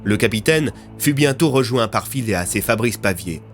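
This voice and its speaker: A man's voice: on the low side, animated, normal speed, soft background music. A man reading scripted French audio fiction as its narrator.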